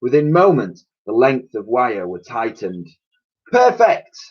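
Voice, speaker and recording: A man's voice: medium pitch, expressive, unhurried, breathy edge, highs cut off. A man reading a children's story aloud like a teacher, with theatrical, suspenseful narration and emphatic sound effects spoken by mouth.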